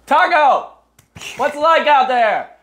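A male anchor in his thirties reads parody news in a dry, deadpan newsreader manner. His pitch swings widely as he leans into each punchline. He is recorded close on a lapel mic in a small studio. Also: slow, a little hiss or hum. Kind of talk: mock news broadcast.

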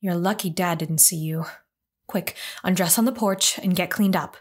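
A woman's voice: fairly low pitch, normal speed, lightly acted. A woman playing a scolding but protective farm mother in an audio drama.

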